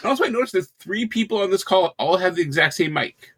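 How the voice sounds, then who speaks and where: A man making wry jokes during a relaxed podcast chat. His voice is slightly high, and he talks with a dry, playful manner. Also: fast, slightly rough. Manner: joking, wry. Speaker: podcast co-host.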